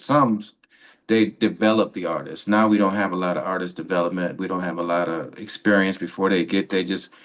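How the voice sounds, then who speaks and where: A man co-hosting a radio interview, talking casually and giving rambling, encouraging advice. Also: sits low. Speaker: radio co-host.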